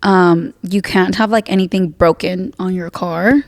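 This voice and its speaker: A woman hosts a relaxed podcast chat, her voice slightly raspy. She laughs easily and drifts between English and Spanish, recorded dry and close with little room sound. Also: fairly low pitch, unhurried, no echo. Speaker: podcast host. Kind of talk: casual chat.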